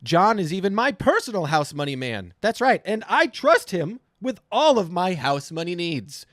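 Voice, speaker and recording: A man's voice: sits high, sing-song, normal speed, fairly bright tone, no echo, clean studio sound. A man hosting a live show, presenting sponsor spots and introductions in a tongue-in-cheek way. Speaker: show host reading sponsor spot and introducing acts.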